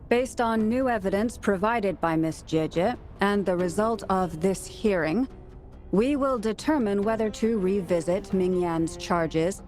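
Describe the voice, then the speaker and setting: A female actor playing a stern, composed magistrate who speaks in a formal, authoritative, measured way. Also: medium pitch, a little mellow, lightly acted.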